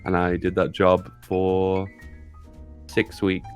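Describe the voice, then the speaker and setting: A man's voice: sits low, completely flat delivery, slow, faint music behind. A man in his thirties chatting casually on a podcast, self-deprecating and chuckling often. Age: 30s. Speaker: podcast co-host.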